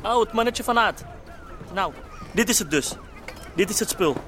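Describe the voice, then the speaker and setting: An actor playing a bossy, impatient hashish dealer in a radio drama, with pushy, mocking street slang. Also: male voice, high voice, expressive, moderate pace, sharp tone, slight background noise. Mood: cocky. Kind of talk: naturalistic Dutch radio drama acting.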